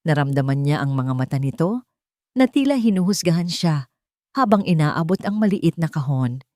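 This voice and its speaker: A woman narrating a scripted dramatic romance story in measured, deliberate phrases with short pauses. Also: deep voice, lively intonation, darkish tone, dry recording, studio quality.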